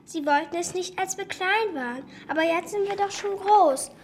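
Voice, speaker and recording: A woman's voice: extremely high pitch, normal speed, a little hiss or hum. A female performer voicing a bossy, whining little girl in a radio drama, with faint ambience behind.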